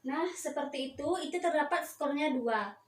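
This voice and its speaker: A young woman gives a step-by-step instructional demonstration as a student, with halting, hesitant delivery. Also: sits high. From Indonesia. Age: young adult. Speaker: nursing student giving a practical demonstration. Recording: echoey room, distant mic, faint noise.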